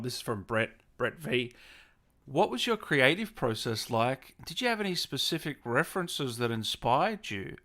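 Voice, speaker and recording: A man with a medium-pitched voice hosts a casual interview. He speaks conversationally as he responds to his guest and passes on viewer questions. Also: a bit bright. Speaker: interview host.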